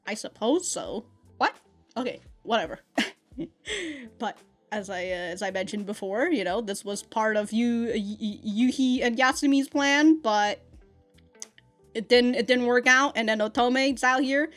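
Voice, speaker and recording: Female reaction host, high voice, slangy and giggly, rambling casually, faint music behind. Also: measured pace, fairly bright tone. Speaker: anime reaction host and reviewer.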